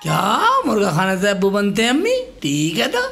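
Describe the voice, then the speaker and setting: A man's voice: sits high, very animated, a little fast, vocal fry, over loud music, exaggerated voice. A man playing a dim-witted, melodramatic married son in a broad comedy skit, naive and exaggerated. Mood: naive, complaining. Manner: exaggerated loud wailing and naive questioning.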